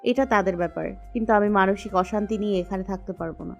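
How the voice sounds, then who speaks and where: A woman's voice: mid-range voice, quick pace, gravelly, muffled recording, lightly acted. A woman playing a stubborn, hurt young woman who speaks in firm, curt replies in an audio story. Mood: firm, resolute. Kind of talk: Bengali audio story dialogue. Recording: music bed under the voice.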